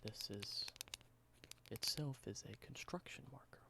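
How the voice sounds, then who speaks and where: A young man doing a soft, halting ASMR whisper, slowly explaining everyday objects in simple words. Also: medium pitch, creaky. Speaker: ASMR creator.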